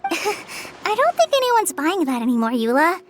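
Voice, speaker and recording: A woman's voice: very high voice, sing-song, measured pace, very noisy, full character voice. A woman voicing a sweet, eager young girl in an anime game, bubbly and earnest. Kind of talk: anime game voice acting, scripted dialogue.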